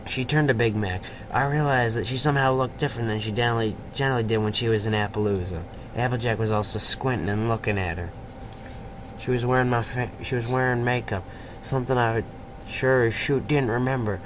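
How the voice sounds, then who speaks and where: Man reading aloud over clear background noise. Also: mid-range voice, brisk. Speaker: fan fiction reader and host. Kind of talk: amateur read-aloud of a story with casual asides. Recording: noisy amateur home recording.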